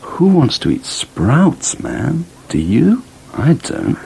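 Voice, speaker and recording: An actor in a British radio soap playing a laid-back ex-hippie organic produce dealer who talks in casual slang. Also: male voice, on the high side, huge pitch swings, slow pace, gravelly, a little mellow, breathy edge, exaggerated voice. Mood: breezy, confident.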